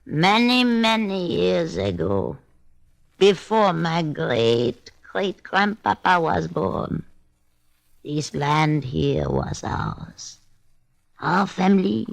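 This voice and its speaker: Slow, creaky old woman. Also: deep voice, sing-song, heavily acted. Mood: brooding. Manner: slow, halting, broken English, ominous. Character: old bayou woman, brooding, vengeful, last of her family. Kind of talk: old-time radio drama acting.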